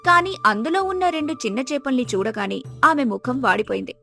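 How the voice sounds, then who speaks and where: Woman, story narrator, high pitch, scripted storytelling, background music. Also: expressive, fairly quick, creaky, sharp tone. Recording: music behind the voice.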